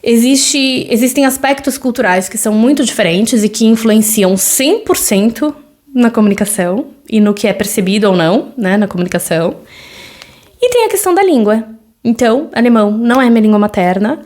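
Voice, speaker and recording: A Brazilian woman in her thirties hosts a solo podcast in a Brazilian Portuguese accent. Her voice sits a little higher than most women's. She talks loosely and conversationally, stopping often for fillers and checking in with tag questions. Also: lively intonation, brisk, darkish tone. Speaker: podcast host.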